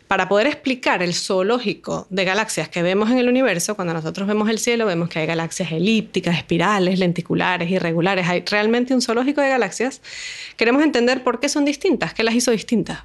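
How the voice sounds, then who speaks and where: A woman's voice: mid-range voice, fast talker. A woman from Venezuela with a Venezuelan Spanish accent, a guest astronomer explaining things patiently, step by step, in an interview.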